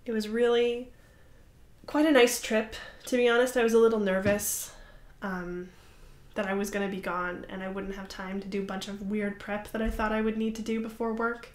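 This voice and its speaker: A woman's voice: medium pitch, little pitch movement, normal speed. A young woman hosting a crafting podcast, chatting casually to the camera in a rambling, apologetic way with lots of fillers. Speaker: podcast host. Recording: roomy echoey room.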